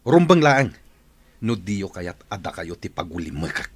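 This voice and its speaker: An actor with an Ilocano accent playing a bossy, scheming rich man who is quick to anger. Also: deep voice, very animated, noisy background, exaggerated voice. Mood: scheming, angry. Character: scheming rich middle-aged male boss. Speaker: radio drama actor.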